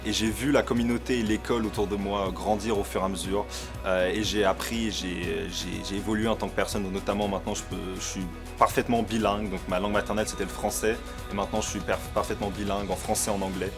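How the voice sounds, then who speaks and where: A teenage boy student talking quickly with stumbling restarts. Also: fairly low pitch, level tone. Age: teens. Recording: music clearly underneath.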